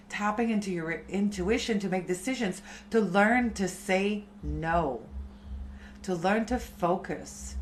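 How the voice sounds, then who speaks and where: Slow, low-voiced woman chanting teacher. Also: a little hiss or hum. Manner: slow, soft, unhurried spiritual talk. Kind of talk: live-stream chat about chanting.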